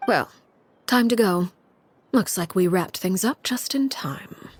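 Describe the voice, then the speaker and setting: An actress playing a poised, coolly menacing aristocratic diplomat in a video game, speaking in a formal, measured manner. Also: female voice, mid-range voice, animated, husky, in a large hall. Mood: cool, businesslike. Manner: formal, measured, veiled threats. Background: music.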